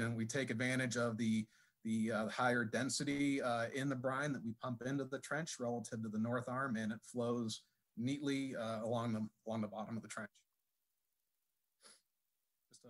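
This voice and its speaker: A man giving a technical presentation at a conference, speaking haltingly with many fillers and restarts. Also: mid-range voice, a bit bright. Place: conference session room.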